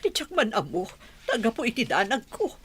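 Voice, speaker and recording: A fretful mother and lady of the house in a radio drama. Her voice sits a little high and moves up and down with great animation. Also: very animated, brisk, husky. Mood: anxious. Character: anxious mother, a village madam fretting over her daughter. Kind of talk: Ilocano radio drama acting.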